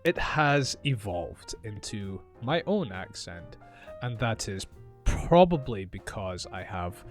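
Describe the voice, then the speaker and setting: A man with a mild Scottish accent, teaching English in a calm, careful, deliberate monologue. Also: fairly low pitch, faint music behind. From northeast Scotland. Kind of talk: slow, clear teaching monologue.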